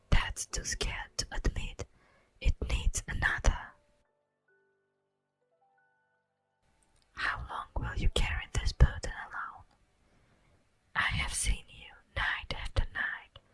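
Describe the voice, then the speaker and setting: Woman acting as immortal elf woman, hushed whisper, unhurried theatrical pauses, wistful earnest reproach, ASMR roleplay, close microphone. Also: dry recording. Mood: chiding, sorrowful. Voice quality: breathy, whispered. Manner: slow, theatrical, lingering pauses. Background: faint music.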